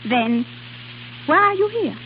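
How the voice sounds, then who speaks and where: A woman's voice: high voice, very animated, slow, heavily acted. An actress playing a tender, romantic young Frenchwoman with a French accent in an old radio drama. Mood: anxious, questioning. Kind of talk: 1940s-50s radio drama acting. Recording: scratchy old radio broadcast, tinny, hissy.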